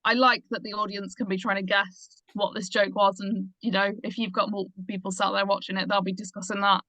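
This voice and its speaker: A woman's voice: mid-range voice, little pitch movement, fairly quick, bright, forceful tone, no room sound. A woman on a reading panel giving casual spoken feedback, in dull lo-fi sound.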